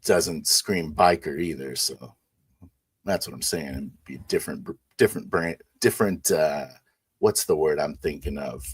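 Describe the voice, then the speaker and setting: A man playing a jaded, gruff vampire party organizer, speaking casually and wryly in an improvised tabletop roleplay. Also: rumbling low, normal speed, pitched below the performer's own voice. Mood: jaded, scheming.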